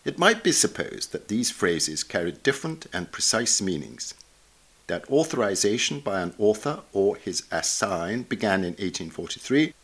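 A man reading a scholarly article aloud in a formal, measured, bookish manner. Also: lowish voice, fairly bright tone. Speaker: reader of a journal article. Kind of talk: scripted narration, reading an essay aloud.

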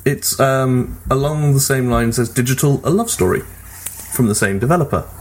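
A man's voice: mid-range voice, normal speed, noisy background. A man co-hosting a podcast, chatting casually about video games. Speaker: podcast co-host.

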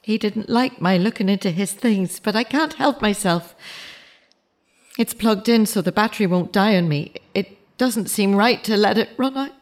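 An actress playing a fragile, grieving middle-aged mother in a naturalistic audio drama, speaking in a halting, confessional way. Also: mid-range voice, close to an everyday voice. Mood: grieving, restrained. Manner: halting, self-correcting, confessional. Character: grieving mother of a murdered teenage son, fragile.

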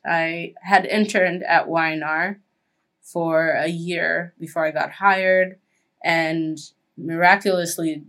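A woman in her twenties from Kenya, a guest chatting casually in an interview with lots of "like" and "um". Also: low-pitched. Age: 20s. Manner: chatty, frequent "like" and "um" fillers.

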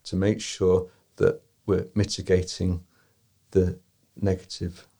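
A man talking as a podcast guest, giving measured, deliberate answers. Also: deep voice, flat delivery, dark tone. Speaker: tour company guest.

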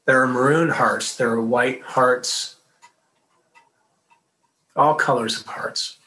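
A male minister delivers his sermon in an easy, conversational way, as if among friends. His voice has a bright, forward ring. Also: mid-range voice, fairly even intonation, slow pace, rough recording.

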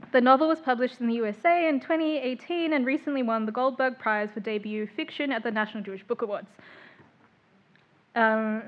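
A woman's voice: on the high side, muffled recording. A woman chairing a literary panel, introducing writers with frequent ums and small laughs.

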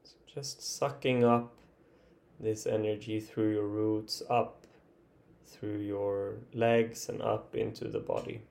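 Slow, gravelly man guiding a relaxing meditation. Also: lowish voice, animated. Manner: gentle, unhurried, halting with long pauses. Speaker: guided meditation teacher. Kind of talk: guided relaxation meditation, improvised.

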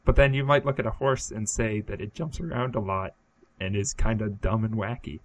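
Deadpan joking podcast co-host man. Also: lowish voice, fairly quick, a little hiss or hum. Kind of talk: casual comedic chat.

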